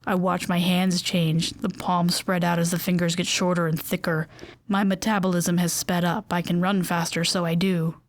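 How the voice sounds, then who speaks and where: A woman's voice: fairly low pitch, level tone, moderate pace, no echo. Bubbly woman hosting a fictional radio show. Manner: bubbly, chatty, rambling asides. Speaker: in-world radio host. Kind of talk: scripted audio drama narration and monologue.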